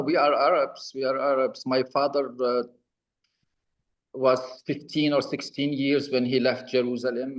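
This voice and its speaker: A middle-aged Lebanese man, Arabic his first language, talking on a video call in a halting, wry way with many fillers and restarts. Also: mid-range voice, rough recording. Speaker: presenter.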